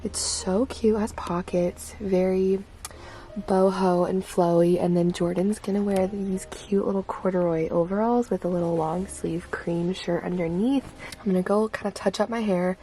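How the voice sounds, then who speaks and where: A woman's voice: mid-range voice, steady tone, normal speed, mellow, rough recording. A woman in her twenties vlogging in a casual, candid way, her voice still recovering from being lost. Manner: chatty, candid, lots of "like". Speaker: vlogger and teacher. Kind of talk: casual vlog chat.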